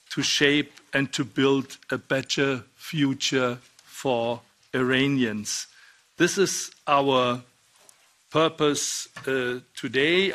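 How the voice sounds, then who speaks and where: Older male chair, measured and formal, bright voice, desk mic in a large hearing room. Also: on the high side. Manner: measured, deliberate, reading a prepared text. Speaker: committee chair. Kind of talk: formal opening remarks. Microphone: desk microphone.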